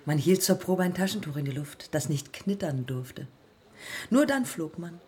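An actress playing a proud, determined woman of early aviation in a radio play, speaking husky and creaky in terse, deliberate phrases. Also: low-pitched, expressive, dark tone, full character voice. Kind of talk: radio play monologue and dialogue.

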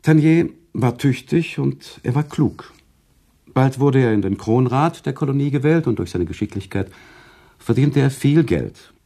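Thoughtful male storyteller, radio play narration. Dark husky voice, lively intonation, deliberate, sympathetic. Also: mid-range voice. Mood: reflective.

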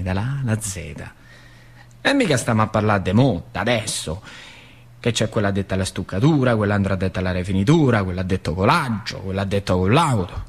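A man's voice: fairly low pitch, husky. An actor playing a plain-spoken old factory worker with a central Italian Lazio dialect, telling his memories emphatically in a stage monologue. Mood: nostalgic. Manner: emphatic storytelling with repeated phrases. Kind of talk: theatrical monologue.

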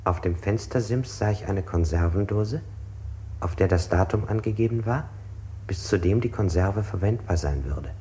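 Actor as low-voiced, uneasy interrogated man. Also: mellow, slight background noise, close to an everyday voice. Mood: uneasy, brooding. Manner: deliberate, self-correcting, precise. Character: anxious interrogated man recounting, constantly correcting himself. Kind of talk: 1960s German radio play monologue.

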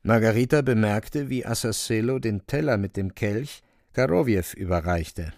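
A man's voice: fairly low pitch, moderate pace, darkish tone, dry recording, studio quality. A man narrating a literary radio play in a scripted storytelling style.

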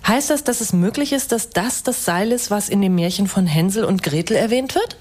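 A woman's voice: medium pitch, lively intonation, fairly quick, a little mellow, close to an everyday voice. A woman playing a curious, persistent radio journalist in a radio drama, reporting and interviewing. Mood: curious, probing.

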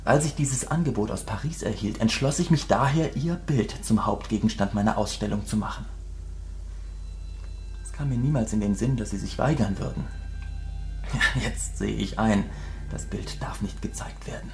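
An actor in a German radio drama playing an earnest, adoring painter who is troubled and wistful and speaks in formal, confessional phrases. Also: male voice, fairly low pitch, normal speed, darkish tone, soft background music, close to an everyday voice.

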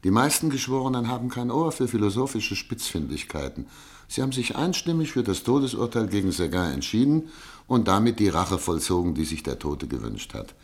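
A stern public prosecutor in a radio drama. His low voice swings widely as he argues, formal and emphatic, never yielding a point. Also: big pitch swings, a little fast. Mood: assured, insistent. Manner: rhetorical, emphatic, formal legal argument. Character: male public prosecutor, eloquent, unyielding, stern and argumentative. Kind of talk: German radio play acting.

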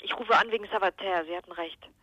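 An actress playing a pert, quick-witted detective's secretary on the telephone in a radio crime play, cool and teasing. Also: female voice, mid-range voice, bright, forceful tone, low-quality recording.

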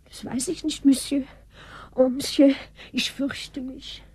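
An actress playing a timid, nervous lady's maid in a German radio drama, halting and breathy. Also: sits high, level tone, warm tone, no room sound. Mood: frightened. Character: anxious French lady's maid, young, timid and fearful.

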